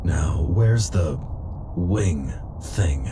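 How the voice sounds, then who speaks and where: A man voicing a calm, terse cyborg warrior in a video game, deep-voiced, measured and deadpan. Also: background noise, exaggerated voice. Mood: reassuring.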